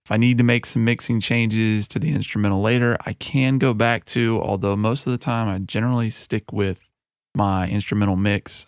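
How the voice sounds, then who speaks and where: A man who is a music producer giving a relaxed, chatty walkthrough of a mixing session into a broadcast mic in his home studio. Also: fairly low pitch, clear, resonant tone, no room sound. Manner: relaxed and conversational, with frequent fillers. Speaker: music producer and recording artist. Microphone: broadcast studio microphone.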